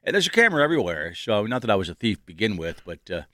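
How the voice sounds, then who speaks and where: A man's voice: on the low side, very animated, rapid-fire, fairly bright tone, no echo, clean studio sound. A man hosting a casual panel talk show, chatting in a joking, rambling way.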